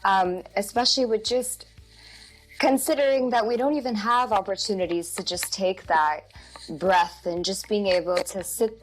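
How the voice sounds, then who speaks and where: A woman's voice: mid-range voice, low-quality recording. A woman hosting a podcast, asking long, encouraging questions in a friendly interview style. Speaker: podcast host. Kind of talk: casual interview.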